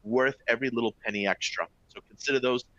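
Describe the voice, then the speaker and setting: Man, Boston accent, middle-aged, webinar presenter, chatty, bright voice, dry close-mic sound. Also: medium pitch, fast talker, no echo, lo-fi sound. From Boston area, US. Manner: upbeat, keeps checking in with "all right?" and "folks". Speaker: travel company presenter. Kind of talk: live webinar presentation to travel agents.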